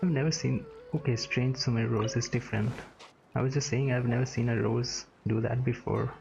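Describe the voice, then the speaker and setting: A man gives casual reaction commentary while gaming. His medium-pitched voice has a noticeable creak, and he drops short, laid-back remarks over clearly audible game music. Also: fairly even intonation, moderate pace, muffled recording.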